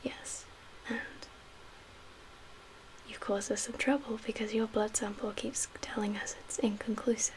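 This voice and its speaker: A woman playing a calm survivor camp leader in a soft-spoken ASMR roleplay, with a breathy, whispery voice and a gentle, measured, hushed manner. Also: fairly high pitch, close to an everyday voice, rough recording. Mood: calm, explaining. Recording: clear background ambient noise.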